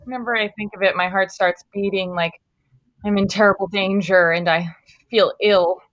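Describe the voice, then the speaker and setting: A woman's voice: medium pitch, steady tone, normal speed, a bit bright, dull audio, close to an everyday voice. A woman playing a cowardly, melancholy lion in a live table read, plaintive and self-pitying. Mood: miserable. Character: cowardly, melancholy lion lamenting his lack of courage. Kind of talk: live table read of a children's fantasy story.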